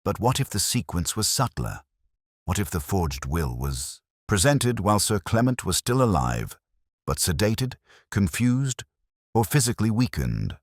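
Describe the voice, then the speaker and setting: A man voicing an analytical, composed Victorian detective in an audiobook, speaking precisely and deliberately with cool reasoning. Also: on the low side, big pitch swings, no echo, clean studio sound, voice pushed up, full character voice. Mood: probing, deliberate.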